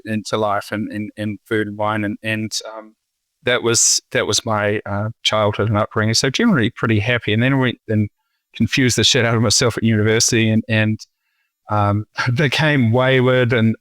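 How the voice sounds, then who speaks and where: A New Zealand man in his forties, a winemaker talking casually in an interview, halting with many fillers and restarts. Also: fairly low pitch, a bit bright, no echo. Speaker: winemaker guest.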